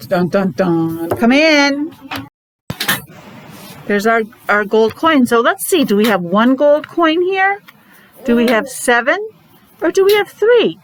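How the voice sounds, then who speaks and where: A woman's voice: on the high side, very animated, a little hiss or hum, rough recording. A woman preschool teacher leading a counting lesson for young children, encouraging and asking lots of questions. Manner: encouraging, asks questions with pauses.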